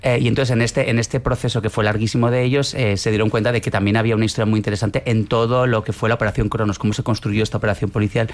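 A man's voice: on the low side, flat delivery, very fast, hardly any pauses. A film director from Navarre, fluently explaining his work in a radio interview. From Navarre, Spain. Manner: fluent, explanatory, many fillers.

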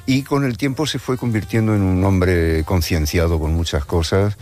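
A man from Madrid with a Madrid Spanish accent speaks in a very low, deep voice. He is a musician answering a radio interview, thinking aloud and restarting his sentences. Quiet music hums faintly underneath. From Madrid, Spain. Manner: thoughtful, halting, many restarts. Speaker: musician guest.

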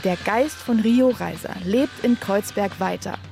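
Female news reporter, gravelly edge, measured scripted read over a music bed. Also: medium pitch. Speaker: radio reporter.

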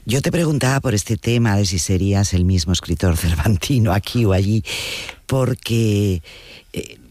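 A man's voice: lowish voice, expressive, moderate pace, darkish tone. A man interviewing a writer, asking long, musing questions that often end with a tag question. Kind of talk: conversational interview.

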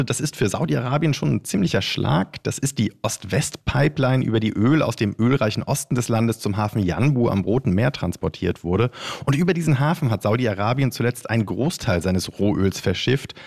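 A man's voice: medium pitch, gravelly, darkish tone. A man giving an explanatory news report as a radio correspondent.

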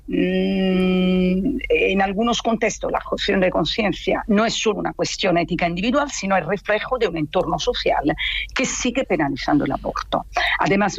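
A woman from Italy with an Italian accent explains things in interview answers as a feminist activist, in a halting, hesitant way with drawn-out pauses. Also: medium pitch, dry recording, muffled recording.

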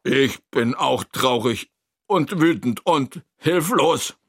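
A man voicing an earnest, emotional child friend in a children's story, with an excitable manner. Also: on the high side, moderate pace, creaky, slightly rough, no room sound, studio quality, voice pushed up, exaggerated voice.

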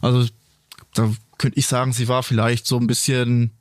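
A man's voice: mid-range voice, little pitch movement, normal speed, no echo, clean studio sound. A man who serves as a police officer, recounting a case in plain storytelling. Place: studio.

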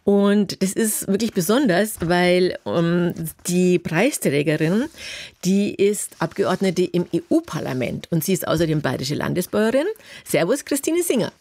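A woman radio presenter putting friendly, brisk questions to a guest. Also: on the low side, animated, darkish tone, no echo. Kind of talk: radio interview.

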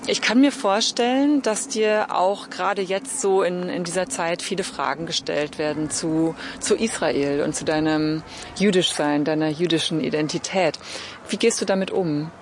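A woman hosting a conversational interview, putting her questions in a tentative, softened way. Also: fairly low pitch, moderate pace, a little hiss or hum. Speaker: interviewer, host.

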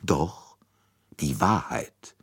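A man voicing a calm, shrewd older male detective who speaks quietly and in a half-whisper. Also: deep voice, measured pace, creaky, no echo, clean studio sound, heavily acted.

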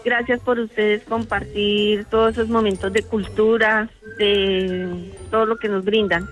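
A woman's voice: medium pitch, little pitch movement, unhurried, soft background music. Colombian woman calling a radio show. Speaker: radio phone-in caller. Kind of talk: casual chat, personal anecdotes.

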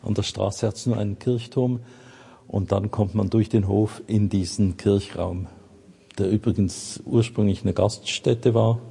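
Older male guide, Swiss accent, very husky and gravelly, casual unscripted explanation. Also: fairly low pitch, fairly even intonation, a little slow, mellow. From Switzerland. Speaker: interviewee, church community guide.

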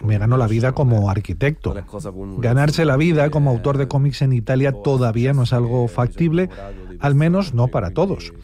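Guy doing interview dub, mellow relaxed voice, mid pitch. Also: moderate pace. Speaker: voice-over interpreter. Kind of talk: translated interview voice-over.

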